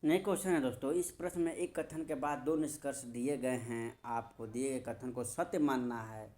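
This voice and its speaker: A man's voice: medium pitch, fairly even intonation, fairly quick, a little mellow. A man teaching an informal coaching lesson, repeating key phrases and answering his own questions as he talks straight to students. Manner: emphatic, addresses students directly. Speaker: maths and reasoning teacher.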